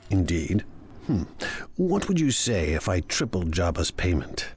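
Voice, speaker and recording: Low-voiced, commanding crime boss. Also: male voice, very animated, a little slow, a little mellow, a little hiss or hum. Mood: smug, threatening. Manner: smug, imperious, clipped commands. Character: commanding, ruthless, ambitious male crime boss. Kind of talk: cinematic video game acting.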